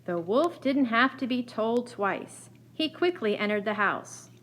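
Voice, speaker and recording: A woman's voice: a little high, animated, measured pace, a bit bright. A woman narrating a scripted children's fairy tale as a storyteller. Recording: faint background noise.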